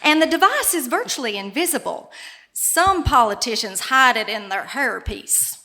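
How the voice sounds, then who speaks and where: A woman's voice: high-pitched, expressive, moderate pace, pushed and bright, slight background noise, full character voice. A woman playing a prim, cautious church secretary in a live comedy sketch, speaking politely and fussily. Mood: matter-of-fact.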